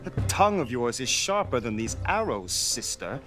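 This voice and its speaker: A man's voice: medium pitch, big pitch swings, normal speed, over loud music, natural voice. A man playing a young, proud and protective Norse warrior brother, full of banter, in a video game cutscene. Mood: proud teasing.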